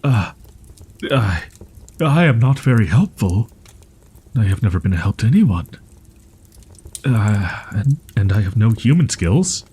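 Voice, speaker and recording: A man playing a proud, wounded young wolf-boy in an audio roleplay, stammering in archaic, formal phrasing with a husky, breathy voice. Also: fairly low pitch, sing-song, a little slow, pauses often, dark tone, exaggerated voice. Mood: timid, doubtful. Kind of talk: roleplay audio drama, ASMR.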